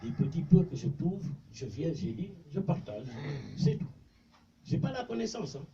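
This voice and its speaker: A man with a Senegalese French accent, whose first language is Mandinka, preaches informally, circling back over his points and reciting Arabic verses. His voice sits a little high and swings widely in pitch, with a mellow tone. He sounds distant from the mic on a dull, faintly noisy recording. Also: sing-song, moderate pace. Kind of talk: informal religious talk.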